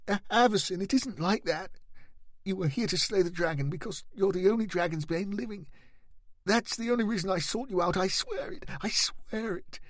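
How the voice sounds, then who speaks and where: A man's voice: mid-range voice, big pitch swings, normal speed, long pauses, fairly bright tone, breathy edge, no echo. A man voicing a nervous, guilty young man who stammers and pleads shakily. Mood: anxious pleading. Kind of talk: audiobook character voice.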